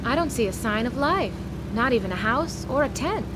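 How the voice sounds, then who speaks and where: A woman's voice: a little high, expressive, normal speed, natural voice. An actress playing an alert, anxious female companion to a crimefighter in an old-time radio drama. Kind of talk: 1940s radio drama acting. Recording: clear noise behind voice.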